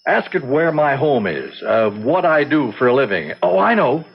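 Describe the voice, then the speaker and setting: An actor playing an amiable, curious gray-haired banker and war veteran in an old-time radio drama, on a muffled recording. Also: male voice, medium pitch, big pitch swings, normal speed, creaky, breathy edge, exaggerated voice. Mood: curious, challenging.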